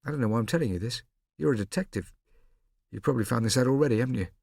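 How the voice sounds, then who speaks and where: A man's voice: mid-range voice, very fast, creaky, darkish tone, dry recording, clean studio sound, pitched above the performer's own voice. A man voicing a proud, protective twelve-year-old boy who speaks earnestly and defensively.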